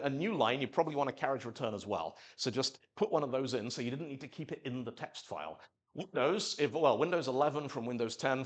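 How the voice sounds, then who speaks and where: A man from Zimbabwe giving a live technical talk at a meetup, telling stories and acting out quotes in little voices. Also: medium pitch. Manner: animated storytelling, acts out quotes in voices. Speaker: conference speaker.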